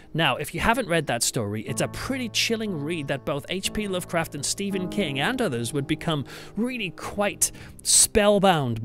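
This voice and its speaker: A British man presents a podcast in a fairly high-pitched voice. He moves between scripted, reflective narration and chatty asides, stressing key phrases like a storyteller. Music plays softly behind him. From United Kingdom. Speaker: podcast host and church pastor.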